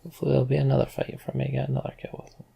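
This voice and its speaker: A man's voice: mid-range voice, completely flat delivery, very fast, gravelly, warm tone, husky. A man giving casual commentary and story narration as host of a video game playthrough. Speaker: let's play host.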